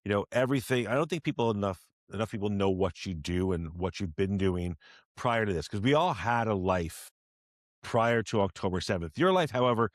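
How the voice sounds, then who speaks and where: A man's voice: lowish voice, lively intonation, brisk, no echo, clean studio sound. A man hosting an interview podcast, asking long, rambling questions with many repeated words and restarts. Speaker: podcast host.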